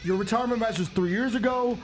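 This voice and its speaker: A man's voice: extremely high pitch, fast talker, soft background music, low-quality recording. A man in a promo clip giving opinionated sports talk in an emphatic, dismissive manner.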